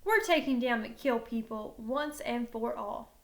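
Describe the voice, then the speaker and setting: A woman's voice: on the high side, measured pace, a bit bright. A woman voicing an annoyed, stubborn middle-school boy who plays video games in a story. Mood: defiant.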